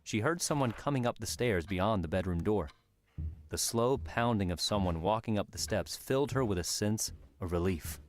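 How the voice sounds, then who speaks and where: Low-voiced male audiobook narrator. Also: lively intonation, moderate pace, slight background noise. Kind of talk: scripted narration of a fantasy adventure novel.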